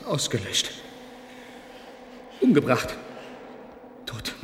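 A horrified crewman in a science-fiction radio drama. His gravelly voice is slow and halting with grief. It rings in a long reverberant space with faint noise. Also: mid-range voice, wildly swooping pitch, heavily acted. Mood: shocked grief. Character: shattered, horrified male crewman bearing terrible news.

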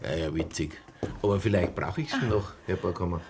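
An actor playing a dogged, sardonic police detective in a radio drama, with a Bavarian accent and a gravelly voice. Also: male voice, low voice, moderate pace, darkish tone, slight background noise. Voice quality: gravelly, husky. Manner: dry, needling, insistent. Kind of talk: naturalistic radio drama dialogue.

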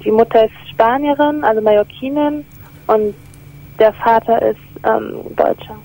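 A twenty-year-old woman calling in to a radio show by phone, talking hesitantly and softly with many fillers. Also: a little high, a little slow, vocal fry. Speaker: caller. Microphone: telephone. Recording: tinny phone line.

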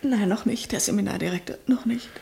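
Actress doing anxious well-bred lady in a radio drama, hushed n upset, creaky voice, mid pitch. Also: brisk, lightly acted. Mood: subdued worry. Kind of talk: German radio drama acting.

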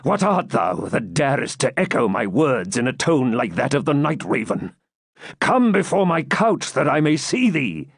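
A man's voice: medium pitch, very animated, measured pace, creaky, slightly rough, dry recording, studio quality, pitched above the performer's own voice, exaggerated voice. A man doing a growling, defiant dying baron. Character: dying savage old baron, stubborn and fierce.

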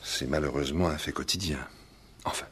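An actor in a 1960s French radio play voicing an educated, suave gentleman, formal and guarded, with articulate deliberate speech. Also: very deep voice, slightly rough, heavily acted. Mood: smooth, assured.

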